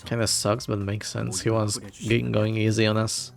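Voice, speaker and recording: A man's voice: fairly low pitch, level tone, normal speed, creaky, music in the background. A man from Poland, a streamer giving casual, offhand commentary while playing a game.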